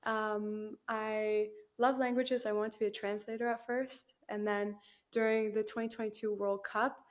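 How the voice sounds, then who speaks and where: A woman's voice: mid-range voice, flat delivery, moderate pace. A young woman, chatting as a guest in an interview, laughing easily and using lots of fillers. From Canada. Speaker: guest, new team member. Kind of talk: casual interview chat.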